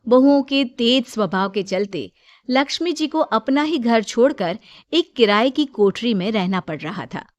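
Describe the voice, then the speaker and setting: A woman's voice: a little high, animated, unhurried, no echo. A woman narrator reading a scripted Hindi moral story.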